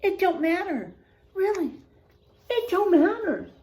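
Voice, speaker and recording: A woman's voice: very high voice, big pitch swings, takes it slowly, warm tone, echoey room, pitched above the performer's own voice, full character voice. A woman voicing a scared young boy in a storybook read-aloud, anxious and pleading. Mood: stubborn.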